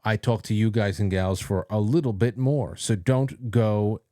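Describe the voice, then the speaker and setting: Low-pitched man hosting a casual show. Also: fairly even intonation, unhurried, warm tone, no room sound, studio quality. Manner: informal, rambling, lightly profane. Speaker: talk show host. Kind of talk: casual solo livestream chat with ad read.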